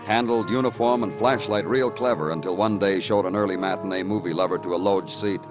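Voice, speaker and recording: A weary American policeman , acted by a man, speaking in a low voice. He is terse, dry and hard-boiled, in the manner of old radio crime drama, with prominent music behind him. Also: brisk, natural voice. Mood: clipped narration. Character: terse, world-weary male police detective. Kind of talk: 1940s-50s American radio crime drama acting and narration. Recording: old radio broadcast recording.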